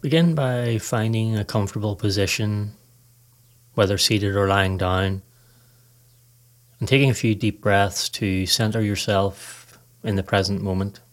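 A man narrating a scripted guided meditation in a calm, measured, instructional way. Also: on the low side, soft background music. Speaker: podcast host, meditation guide.

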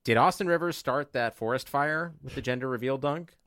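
A man's voice: medium pitch, a little fast, fairly bright tone, no room sound, studio quality. A man co-hosting a podcast, joking casually about sports. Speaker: podcast co-host. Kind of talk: casual comedic sports chat.

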